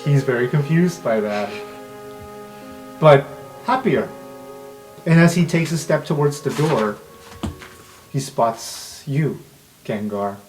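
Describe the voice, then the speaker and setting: A man's voice: medium pitch, lively intonation, takes it slowly, pauses often, a little mellow, soft background music. A man serving as game master, narrating an improvised tabletop fantasy game.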